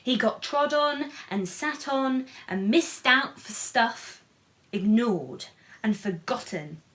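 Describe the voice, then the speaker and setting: A woman reading a picture book to children in an animated, playful way, with chatty asides and questions to her listeners. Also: fairly high pitch, takes it slowly. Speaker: children's storybook reader.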